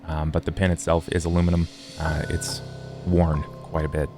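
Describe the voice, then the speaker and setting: A man playing a scholarly, formal vampire in a tabletop game, speaking in measured, wordy phrases over music. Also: monotone. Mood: earnest, explanatory. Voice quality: low, gravelly fry. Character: scholarly, formal, morose male vampire occult theorist. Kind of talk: in-character tabletop roleplay.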